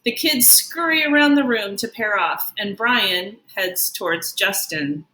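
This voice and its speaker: A woman reading a children's picture book aloud like a teacher, in an expressive, deliberate way. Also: medium pitch. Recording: roomy, echoing sound.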